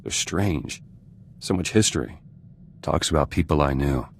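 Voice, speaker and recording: A man's voice: very deep voice, lively intonation, a little slow, vocal fry, a little hiss or hum, exaggerated voice. A man playing an embittered, vengeful resistance fighter in a video game, speaking in terse, clipped fragments with dropped words. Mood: terse, guarded. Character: embittered male resistance fighter turned politician, hard and vengeful. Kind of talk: video game dramatic voice acting.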